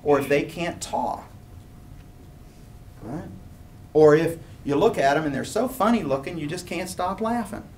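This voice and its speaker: A man's voice: on the high side, measured pace, long pauses. A man in his sixties giving an informal university lecture in a conversational way, often checking in with his listeners. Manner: conversational, animated gesturing, rhetorical check-ins. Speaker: college professor lecturing. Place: university classroom, standing at lectern. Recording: classroom recording with clear background noise.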